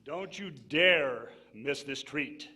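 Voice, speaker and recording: A man's voice: a little high, lively intonation, slow pace, sharp tone, heavily acted. A man playing an enthusiastic, folksy 1940s local radio announcer in a promotional style. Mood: upbeat promotional.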